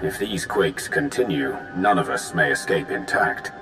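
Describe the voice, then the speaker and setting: Game villain's loyal advisor: grave, formal Sith lord, very low voice over music. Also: male voice, very animated, a little slow. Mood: solemn, formal. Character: solemn, loyal male Sith lord serving as tactical advisor. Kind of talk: video game voice acting. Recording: music behind the voice.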